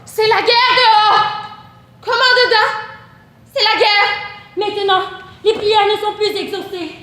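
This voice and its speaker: A woman's voice: high-pitched, level tone, fairly quick, close to an everyday voice. A woman performing a theatrical poetry recitation as an impassioned voice in a poem about war. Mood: fierce, defiant. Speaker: student stage performer. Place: echoey performance space. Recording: roomy live stage recording, faint music.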